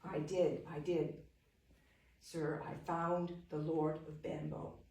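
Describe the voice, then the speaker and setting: A woman storyteller voicing an eager young man telling boastful tales of a lord's wealth, animated and laughing. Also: sits low, slow, reverberant, recorded from a distance.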